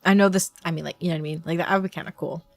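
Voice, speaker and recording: A woman's voice: fairly low pitch, racing, creaky. A woman game streamer giving casual, playful reactions as she plays. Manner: playful, expressive.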